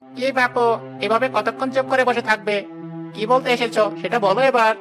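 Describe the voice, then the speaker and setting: A man's voice: extremely high pitch, steady tone, music in the background. Man playing a chatty village matchmaker. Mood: brisk. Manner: brisk, businesslike, reassuring.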